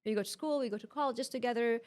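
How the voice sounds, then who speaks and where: A woman's voice: fairly high pitch, fast talker, darkish tone. A woman from Iraq speaking as a podcast guest, explaining things in a casual, loosely phrased way. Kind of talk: casual interview answers.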